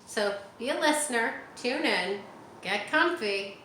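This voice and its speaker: Woman reading a picture book aloud, slow, in a roomy space. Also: a little high. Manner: animated, talks directly to young listeners. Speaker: children's book reader. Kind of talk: read-aloud storytime with chatty asides to kids. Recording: roomy echo, faint ambient hiss.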